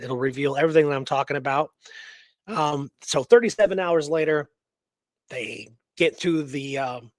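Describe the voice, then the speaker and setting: A man's voice: mid-range voice, no room sound. A man co-hosting a radio show, recounting history in a casual, enthusiastic, informal way.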